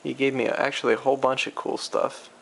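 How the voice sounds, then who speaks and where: A man with a gravelly, creaky voice walks through a piece of old gear in an offhand, unscripted way. His explanations ramble and halt as he goes. There is faint noise behind him in an amateur recording. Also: medium pitch, little pitch movement, a little fast, fairly bright tone. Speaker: hobbyist demonstrating vintage audio gear. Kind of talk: casual unscripted product demonstration.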